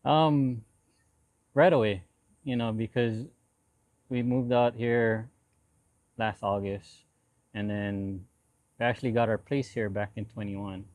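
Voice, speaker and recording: A man in his forties from Hawaii, speaking casually as an interview guest in a halting way, often repeating short phrases. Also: lowish voice, fairly even intonation, a little mellow, no room sound. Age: 40s. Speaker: interview guest, lowrider builder.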